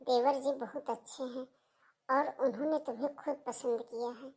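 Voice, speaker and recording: A woman acts out a motherly sister-in-law in a cartoon family drama. Her high voice is soft and gentle, and she persuades in an earnest, reassuring way. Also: flat delivery, normal speed, natural voice. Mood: gently persuasive.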